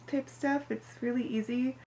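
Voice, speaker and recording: Higher-voiced woman chatting in an echoey, noisy room. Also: steady tone, a little slow, darkish tone. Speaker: vlogger learning a language. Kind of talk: casual study vlog chat. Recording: roomy echo, clear background noise.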